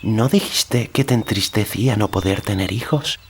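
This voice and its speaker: A man voicing a kindly, earnest young boy in an audiobook, gentle and solemn. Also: on the low side, normal speed, slight background noise, voice pushed up, close to an everyday voice.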